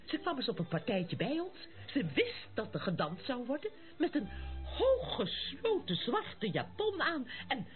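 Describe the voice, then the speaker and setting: A woman's voice: sits high, very animated, a little slow. An actress playing an indignant, mocking society lady who gossips theatrically in a radio play. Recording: muffled old radio recording, faint music behind.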